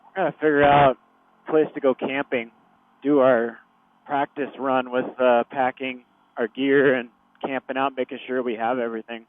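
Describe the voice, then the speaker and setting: A man's voice: mid-range voice, level tone, moderate pace, no room sound. A man chatting casually as he rides, heard through a motorcycle helmet intercom. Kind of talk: casual chat while riding. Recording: dry, tinny helmet intercom radio.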